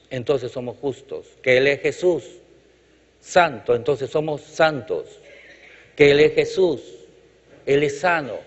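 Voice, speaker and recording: A male preacher from Chile giving a sermon with emphatic, rhythmic repetition and light jokes to the congregation. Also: on the high side. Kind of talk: sermon with congregational call-outs. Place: church hall. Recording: reverberant hall, dull lo-fi sound.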